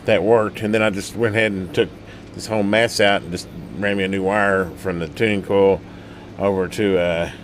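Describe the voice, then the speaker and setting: Folksy, low-voiced man over steady noise. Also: moderate pace. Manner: folksy, colloquial, rambling. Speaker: radio repair hobbyist and channel host. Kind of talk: casual workbench demonstration, rambling. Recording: steady background hum and noise.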